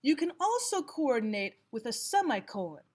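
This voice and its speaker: Woman, slightly high voice, teacher, scripted lesson narration, deliberate explanatory delivery. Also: very animated, a little mellow. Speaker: language arts teacher.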